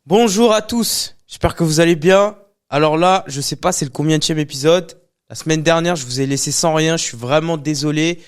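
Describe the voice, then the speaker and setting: A man hosting a podcast, greeting viewers and warmly introducing his best friend as the guest. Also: a little high, normal speed, clean tone. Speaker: podcast host. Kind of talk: casual podcast talk.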